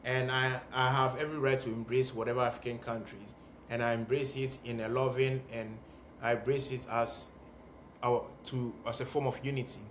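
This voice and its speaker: A young man from Ghana and Sierra Leone talks straight to the camera in an earnest, emphatic way, leaning on repeated phrases to drive his point home. His voice sits at an ordinary middle pitch. It bounces around an echoey indoor room with a faint hiss underneath. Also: level tone, moderate pace, a bit bright. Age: young adult. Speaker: vlogger. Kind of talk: heartfelt monologue to camera.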